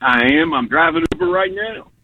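A man's voice: a little high, expressive, brisk, sharp tone, far from the mic, slight background noise. A man calling into a radio show, a rideshare driver telling amused, chatty stories. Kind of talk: phone-in call. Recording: tinny phone line.

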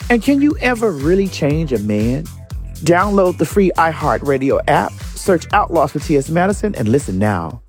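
A woman's voice: sits low, very animated, normal speed, soft background music. A podcast host delivering a sassy, showy promo for her show.

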